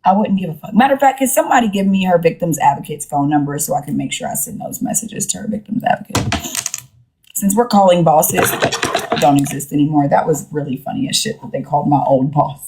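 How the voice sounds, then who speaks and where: A woman's voice: low-pitched, lively intonation, a little fast, noisy background. A woman hosting a casual live stream, talking in a blunt, sassy, slangy way with plenty of swearing. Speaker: livestream host.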